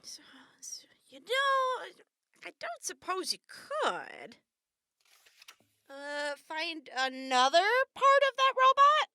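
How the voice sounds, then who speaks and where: A brash young wasteland woman played by an actress in an audio drama, with a very high, piercingly bright voice. She is blunt and rough-mannered, and her pitch swings widely in a dry, close recording. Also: wildly swooping pitch, slow pace, dry recording, full character voice. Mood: hesitant, muttering. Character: blunt, foul-mouthed young woman raised among vault raiders. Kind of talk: post-apocalyptic audio drama acting.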